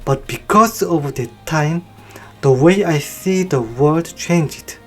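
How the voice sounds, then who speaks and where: A narrator reading a scripted film review in a slow, measured, evenly paced delivery. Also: mid-range voice, faint music behind. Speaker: video essay narrator.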